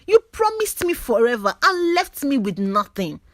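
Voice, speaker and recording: A woman's voice: high voice, big pitch swings, normal speed, a bit bright, dry recording, studio quality, voice pushed up. A woman voicing a bitter, wounded woman who accuses her former lover in a dramatic story. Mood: bitter accusing.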